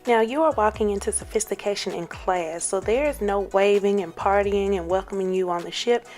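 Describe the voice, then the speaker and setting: A young woman with a hoarse voice, chatting excitedly to her viewers in a casual travel vlog. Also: medium pitch, a little fast, faint music behind. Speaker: travel vlogger.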